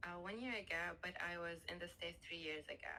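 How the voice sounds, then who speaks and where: A woman in her twenties from Saudi Arabia, answering interview questions with giggly, relaxed short replies. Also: on the low side, fast, a little hiss or hum, poor audio. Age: 20s. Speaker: guest.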